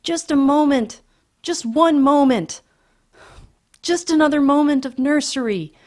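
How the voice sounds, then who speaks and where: A woman reading aloud, voicing children who wail and plead at a high pitch. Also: a little slow, a little mellow. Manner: shrill wailing. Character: children pleading, crying and furious.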